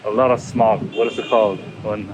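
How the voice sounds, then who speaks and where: A man from Sweden chatting casually on a city street, slow and hesitant. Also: on the low side, fairly even intonation, noisy background. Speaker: travel vlogger. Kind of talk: casual chat.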